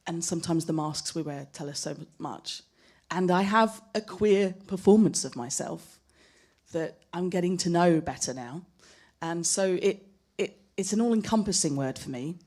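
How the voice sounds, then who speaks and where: A woman speaking as a panelist at a live discussion, thoughtful and personal, measured in how she talks. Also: fairly low pitch, darkish tone. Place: gallery event space.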